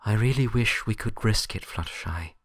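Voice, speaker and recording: A man's voice: fairly low pitch, moderate pace, dry recording, studio quality, pitched above the performer's own voice. A man voicing a stern, pragmatic female unicorn librarian in a story, reasoning firmly and grimly. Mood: softened, regretful. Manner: sharp-edged, reasoning firmly.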